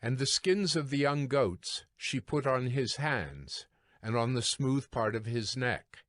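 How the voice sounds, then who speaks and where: A man's voice: medium pitch, animated, slow, fairly bright tone, no room sound, studio quality. A man narrating dramatized scripture as a scripted storytelling reading.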